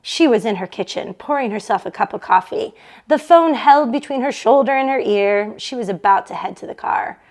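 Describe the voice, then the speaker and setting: Woman w a slightly high voice reading a book out loud for a book club, pretty expressive. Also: moderate pace. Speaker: book club read-along host for a bookstore. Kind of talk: reading a novel aloud to an online audience.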